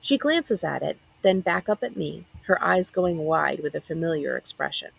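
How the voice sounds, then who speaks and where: A woman reads a children's novel aloud in expressive first-person narration. Her voice sits a little low, with a strong creak and a husky edge. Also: normal speed, low-quality recording. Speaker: librarian reading a book aloud.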